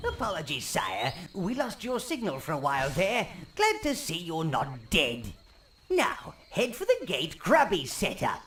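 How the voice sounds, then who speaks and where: A man playing a wicked, fawning old goblin advisor in a video game, with a raspy, croaky, theatrical delivery. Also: fairly high pitch, huge pitch swings, unhurried, full character voice. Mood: eager, briefing. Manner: theatrical, obsequious, exclaiming. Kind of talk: video game character acting. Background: loud music.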